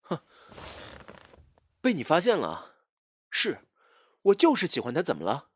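A man playing a cocky, taunting rival in love in an audio drama, with a husky, theatrical drawl. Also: on the high side, huge pitch swings, measured pace, no echo, studio quality, full character voice. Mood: taunting, threatening.

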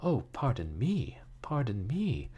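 Storybook young woman read by a male narrator: worldly-wise, wistful, drily teasing, lilting pitch. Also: sing-song, fairly quick, lo-fi sound, a little hiss or hum. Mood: teasing, sarcastic. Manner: languid, teasing.

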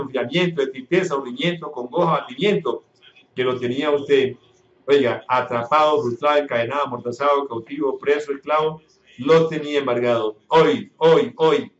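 A male pastor leading a fervent healing prayer in a church service broadcast on radio, repeating phrases in a chanting cadence and breaking into tongues. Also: fairly high pitch. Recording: echoey dull radio broadcast.

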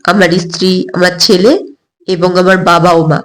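Lady doing a polite formal middle-aged dad in an audio story, lowered voice, measured. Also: level tone, close to an everyday voice. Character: courteous middle-aged father of the groom.

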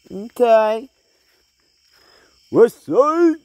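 Homesteader, laid-back and slangy, unhurried delivery, phone-recorded outside at night. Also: male voice, very high-pitched, very animated, a little mellow, no room sound, dull audio. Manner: laid-back, talks to his chickens in slang. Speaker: homesteader vlogger. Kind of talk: casual talk to chickens while filming. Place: backyard homestead outdoors at night. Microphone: handheld phone camera. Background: crickets and night insects.

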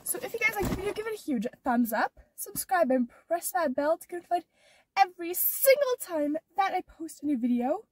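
Teen girl vlogger, very high voice, stuffed-up nose, rambling with fillers, heard from a distance. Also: big pitch swings, a little fast, darkish tone, dry recording. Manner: rambling, many "like" and "or whatever" fillers. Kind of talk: casual vlog chat. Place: inside her car.

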